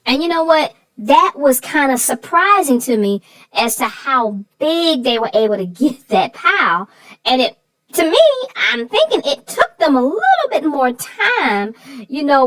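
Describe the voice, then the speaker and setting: A woman voicing a sassy, feisty female co-host in chatty banter, with a folksy, emphatic, drawn-out way of telling things. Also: sits high, sing-song, a bit bright, heavily acted, poor audio. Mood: chatty, amused. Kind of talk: scripted chatty banter about a children's book.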